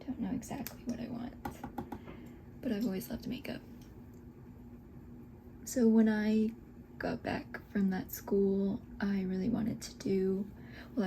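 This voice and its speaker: A woman in her twenties, born in Scotland, chatting softly in a breathy half-whisper while doing her makeup at home, unhurried and full of little fillers. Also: mid-range voice, a little hiss or hum, poor audio. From Scotland, raised in Texas. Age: 20s. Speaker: esthetician and beauty vlogger. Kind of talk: soft whispered get-ready-with-me chat.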